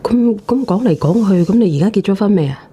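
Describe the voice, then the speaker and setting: A woman with a dark, mellow, mid-pitched voice and a Cantonese accent hosts a phone-in. She speaks casually and bluntly, prodding the caller along with questions. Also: expressive, a little fast, slight background noise. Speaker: phone-in show host.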